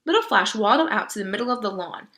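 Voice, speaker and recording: A woman's voice: mid-range voice, animated, quick pace, fairly bright tone. A woman reading a children's story aloud and explaining it in casual commentary. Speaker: reader commenting on the book.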